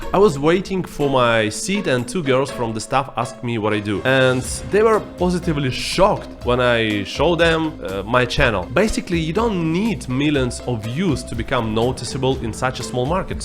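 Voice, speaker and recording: A man's voice: medium pitch, animated, moderate pace, hardly any pauses, music in the background. A man from Russia with a Russian accent, speaking English as a non-native speaker, delivers scripted vlog commentary in a wry, playfully sarcastic way. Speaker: YouTuber.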